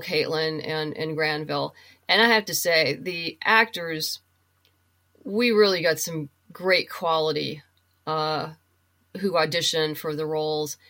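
A woman's voice: low voice, pushed and bright. A woman from the United States talking as a guest in a casual, conversational interview. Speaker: interview guest, writer and producer.

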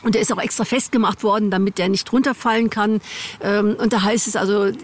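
A woman's voice: medium pitch, fairly even intonation, fairly quick, slight background noise. A woman talking informally as a local guide, explaining history and legends in a candid, talkative way. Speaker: local guide being interviewed.

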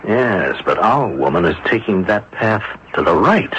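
Wary, grumbling male inspector for radio mystery; hesitant, questioning, very animated delivery, dull noisy broadcast sound. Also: fairly low pitch, measured pace. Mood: excited, watchful. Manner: hesitant, questioning, with many uh's and exclamations. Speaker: actor in radio drama. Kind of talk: radio mystery drama acting.

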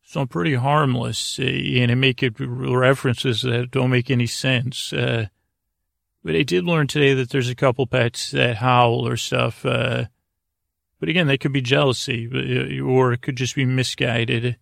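A man with a creaky voice hosting a bedtime podcast, rambling along in a meandering, halting way and interrupting himself. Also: mid-range voice, steady tone, fairly bright tone, no echo, clean studio sound. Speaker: podcast host.

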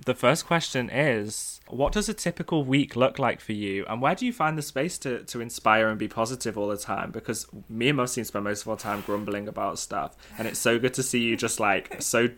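A man co-hosting a casual podcast interview with a bright, forceful tone. He gushes with enthusiasm and piles on compliments for his guest. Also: medium pitch, a little fast, hardly any pauses. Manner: gushing, enthusiastic, effusive compliments. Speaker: podcast co-host.

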